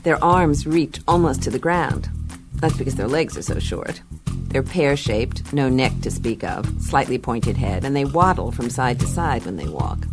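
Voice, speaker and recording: A woman's voice: a bass voice, sing-song, normal speed, vocal fry, heavily acted. An actress playing a tough, wry female adventurer who narrates matter-of-factly in a science-fiction radio play. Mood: wry, fond. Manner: matter-of-fact, wry narration with conversational asides. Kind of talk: radio play acting, first-person narration. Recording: loud music behind voice.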